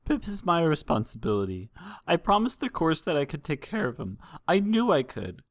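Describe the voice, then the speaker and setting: A man voicing a distraught mother pony in a fan fiction audiobook, halting and breathy as the character pleads for their child through sobs. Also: on the high side, huge pitch swings, dry recording, pitched above the performer's own voice. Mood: anxious, halting. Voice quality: breathy, trembling. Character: distraught, tearful mother pony pleading for her missing son.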